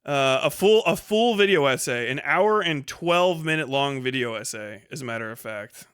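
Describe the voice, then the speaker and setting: Bright, forceful man chatting on a livestream. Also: medium pitch, animated, moderate pace, clean tone, no room sound, clean studio sound. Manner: profane, sardonic. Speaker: livestream host. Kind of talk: casual livestream chat, reading viewer comments.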